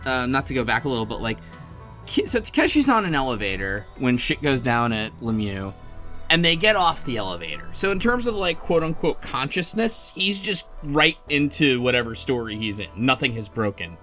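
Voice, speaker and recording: A man's voice: medium pitch, very animated, moderate pace, bright, forceful tone, faint music behind. A man talking casually in a book club discussion, speculating and thinking aloud as he goes. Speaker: podcast host.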